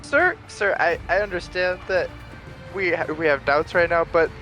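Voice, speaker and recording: A man playing a tabletop game with friends, chatting and roleplaying casually in a halting, stammering way. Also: high voice, sharp tone, soft background music.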